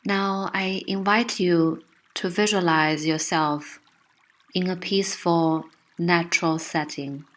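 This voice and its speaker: A woman narrating a guided meditation with gentle, soothing, unhurried instruction. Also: lowish voice, steady tone.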